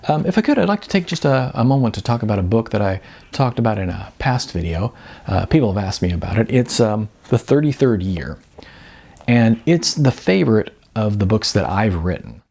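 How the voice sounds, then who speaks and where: Husky man reading his novel aloud. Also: on the low side, big pitch swings, brisk, a little mellow. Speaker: author reading own novel. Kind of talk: scripted audiobook-style narration.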